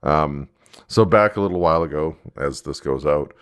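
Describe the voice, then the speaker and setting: Very deep-voiced man, halting and casual. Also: clear, resonant tone. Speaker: podcast host. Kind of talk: casual fan discussion.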